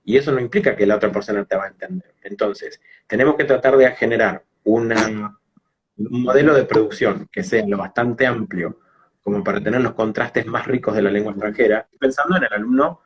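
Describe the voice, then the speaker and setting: Male interviewee, Rioplatense Argentine teacher. Slightly low, husky voice, didactic explanatory delivery. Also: fairly quick, low-quality recording. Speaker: English teacher and phonetics specialist being interviewed.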